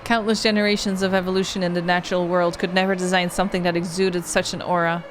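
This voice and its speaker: A woman's voice: fairly low pitch, flat delivery, fast talker, slight background noise, lightly acted. A woman playing an earnest horror-game protagonist, reading the narration in a dramatic storytelling manner. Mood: tense dread.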